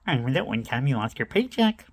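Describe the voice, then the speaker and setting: Middle-aged man, gravelly, dry close sound; rambling anecdote teller who loses his own thread. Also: mid-range voice, very animated, fast, dry recording. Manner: meandering, self-interrupting, laughs at himself. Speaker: storyteller.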